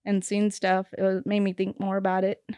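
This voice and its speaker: A woman talking as a guest on a casual podcast, thinking aloud and hesitating with lots of fillers. Her voice is smooth and clear at a middle pitch. The sound is dry, with little room echo. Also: monotone, a little fast, dark tone. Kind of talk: casual conversation.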